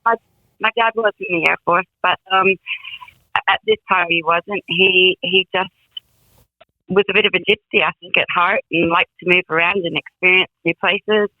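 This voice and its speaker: A woman's voice: lowish voice, fairly even intonation, normal speed, no room sound. A woman from England, a guest songwriter, telling stories in a rambling, casual way over a phone line. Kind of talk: casual interview.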